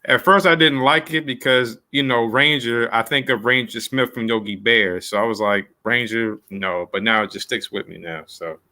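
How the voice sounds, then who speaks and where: A male podcast host with a bright, forceful voice at an average male pitch. He chats casually with his guest in a folksy, informal and appreciative manner. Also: normal speed, few pauses. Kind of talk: casual interview chat.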